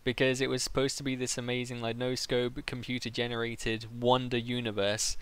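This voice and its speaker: A man's voice: mid-range voice, level tone, normal speed, a bit bright, smooth. A man hosting an interview in a casual, conversational style. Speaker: interviewer, host.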